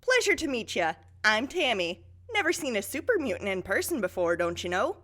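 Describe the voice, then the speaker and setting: An actress playing a chirpy, nosy town guard with a folksy, chatty way of talking in an audio drama. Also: female voice, on the high side, big pitch swings, brisk, a bit bright. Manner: folksy, chatty, tag phrases. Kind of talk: post-apocalyptic audio drama acting.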